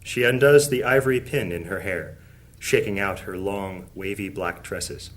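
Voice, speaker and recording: Low-voiced man as a solemn fantasy storyteller. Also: measured pace. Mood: solemn, measured. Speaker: narrator. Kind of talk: scripted fantasy audio drama narration.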